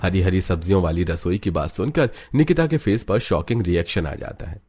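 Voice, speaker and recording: A man's voice: deep voice, fairly quick, darkish tone, soft background music. A man giving a scripted narration for a cartoon family story.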